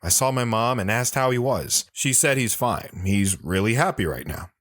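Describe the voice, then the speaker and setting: A man's voice: medium pitch, lively intonation, moderate pace, a bit bright, no room sound, studio quality, lightly acted. An actor playing a reflective grown man recounting his boyhood in a first-person audio drama.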